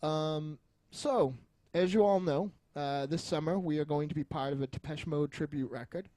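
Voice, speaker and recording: A man's voice: fairly high pitch, normal speed, warm tone, no room sound. A man chatting casually as a podcast co-host, rambling and joking with frequent chuckles and some swearing. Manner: jokey, foul-mouthed, rambling, often chuckling.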